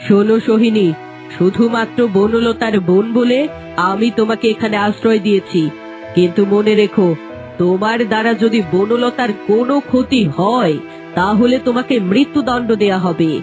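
Regal middle-aged queen played by a woman, cartoon fairy tale, firm authority, medium pitch, over music. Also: moderate pace, natural voice. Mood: stern, warning. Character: stern, authoritative queen mother of a kingdom.

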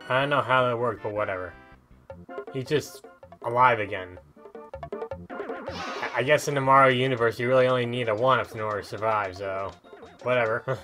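A man hosts a gaming video in a medium-pitched voice. He talks casually and thinks out loud as he plays. Game music runs clearly behind his commentary. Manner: chatty, thinking aloud while playing.

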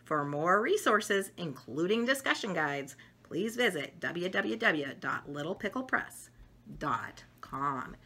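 Middle-aged female storyteller for kids' educational picture book, bright tone, highly varied intonation, emphatic delivery. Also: very animated, takes it slowly, slightly rough. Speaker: storyteller reading picture books. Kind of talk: read-aloud of a children's educational book. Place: home dining room, indoors.

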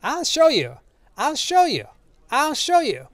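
Poor, proud old man, storybook drama. High, swooping voice, formal and full of protest. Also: big pitch swings, moderate pace, dry recording. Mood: frantic, shouting. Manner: impassioned, protesting, formal phrasing. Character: outraged, proud, impoverished old man, defiant and wounded.

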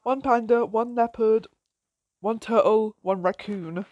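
A woman casually commenting on her own gameplay with a croaky voice, giggling and exclaiming often. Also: fairly high pitch, unhurried, dry recording, highs cut off. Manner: giggly, excitable, frequent laughs and exclamations.

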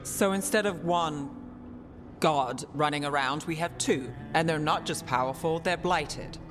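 A woman voicing a wounded, cautious woman mage who speaks in a measured, skeptical way, in game dialogue. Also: deep voice. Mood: wary. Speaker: party companion. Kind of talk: video game voice acting. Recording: game dialogue with clear music, long reverb.

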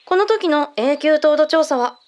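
Audio drama role: composed intelligence briefer played by a woman, high voice, blunt and matter-of-fact. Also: lively intonation, darkish tone. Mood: composed, explanatory. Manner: measured, matter-of-fact, blunt masculine phrasing. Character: calm intelligence colleague briefing secret findings.

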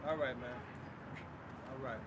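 A man's voice: medium pitch, steady tone, measured pace. A man preaching and debating outdoors on a city street, blunt and assertive, calling people bro. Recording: loud street traffic noise.

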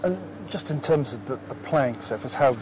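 Guy asking questions, mid pitch, friendly and a bit halting, noisy outside. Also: expressive. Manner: friendly, hesitant question phrasing. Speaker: club media interviewer. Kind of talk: post-match interview questions. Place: outdoors at a football ground at night. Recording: outdoor ambience with clear background noise.

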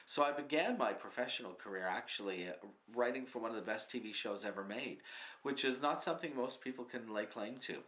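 A man's voice: mid-range voice, brisk, a bit bright. A man in his fifties, answering in an earnest, matter-of-fact way with lots of ums, seated in a small studio. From Canada. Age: 50s. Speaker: comedy writer, guest. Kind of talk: mock interview, plain answers. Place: small studio with curtain backdrop.

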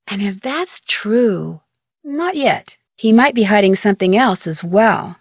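A woman's voice: medium pitch, expressive, measured pace, husky, no echo, studio quality. A young woman voicing an inquisitive, plucky amateur detective in a video game, talking conversationally as if on the phone. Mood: pondering, suspicious.

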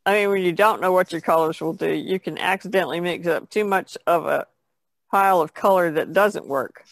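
A woman's voice: on the low side, fairly quick, vocal fry, no echo, rough recording. A woman who paints, chatting casually as a podcast guest. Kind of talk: casual chat.

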